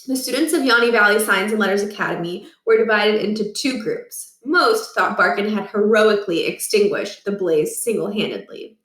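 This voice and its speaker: A woman reading a children's storybook aloud with expressive, deliberate delivery in a roomy space. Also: mid-range voice, distant mic. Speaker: read-aloud reader. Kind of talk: scripted storybook narration. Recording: roomy, echoing room.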